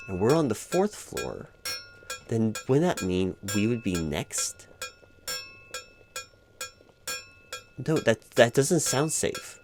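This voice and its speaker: A man's voice: medium pitch, lightly acted. A man playing a distracted, flustered corporate hiring manager in a comedic roleplay, speaking in wordy, stammering office formality. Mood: uneasy, protesting. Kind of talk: comedic one-sided ASMR-style roleplay, spoken aloud.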